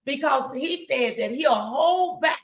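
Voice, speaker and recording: Female faith-show host, noticeable vocal fry, emphatic preacherly delivery aimed at viewers. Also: high-pitched, animated, slow. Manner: exhortatory, emphatic, addressing viewers directly. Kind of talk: motivational faith talk on a livestream.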